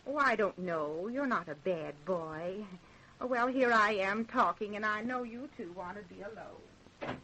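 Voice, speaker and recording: A woman's voice: mid-range voice, measured pace, bright, forceful tone, a little hiss or hum, low-quality recording. An actress playing a fussy, kindly, old-fashioned middle-aged American mother in an old-time radio drama. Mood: warm, admiring.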